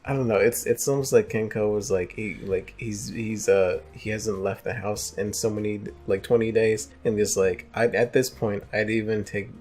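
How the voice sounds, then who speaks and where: A man giving casual commentary as a co-host, with halting, squeamish, deadpan reactions. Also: lowish voice.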